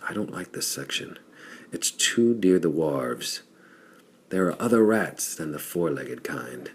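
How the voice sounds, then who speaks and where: A man's voice: sits low, sing-song, measured pace, slight background noise, full character voice. A man voicing a scornful, nervous cowled scientist in an audiobook, explaining things in an expository way. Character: cowled male scientist, disdainful, nervous resistance insider.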